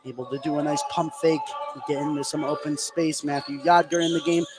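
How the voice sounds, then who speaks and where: A man's voice: a little high, flat delivery, a little fast. A man working as a play-by-play announcer, giving live basketball commentary on the radio. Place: indoor high school gymnasium. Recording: clear venue noise behind the voice.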